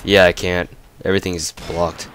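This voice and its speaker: A man's voice: sits low, little pitch movement, normal speed, fairly bright tone, a little hiss or hum. A young man giving casual, profane gameplay commentary, ranting and mocking while congested from a cold. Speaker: gamer commentator.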